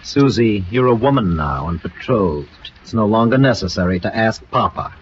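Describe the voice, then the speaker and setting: An actor playing a suave, flattering celebrity romancer with florid, ardent delivery in a 1940s radio drama. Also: male voice, fairly low pitch, normal speed, a little hiss or hum, natural voice. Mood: smug, courtly. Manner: florid, ardent, smooth-talking. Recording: dull old radio recording with music.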